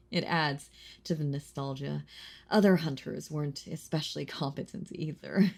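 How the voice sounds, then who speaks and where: A woman voicing a possessive, centuries-old vampire in an intimate audio roleplay, husky and breathy, teasing and condescending, slipping into whispers. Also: sits low, heavily acted. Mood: amused teasing. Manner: slow, condescending, teasing, softly chuckling. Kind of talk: intimate audio roleplay monologue addressed to listener.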